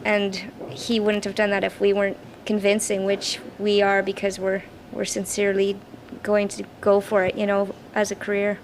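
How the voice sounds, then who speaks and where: A young Canadian woman with a young-sounding voice, the bassist of a rock band, giving earnest, casual answers in an interview. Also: completely flat delivery, moderate pace, low-quality recording. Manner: earnest, laughs easily. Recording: raw camcorder audio with background noise.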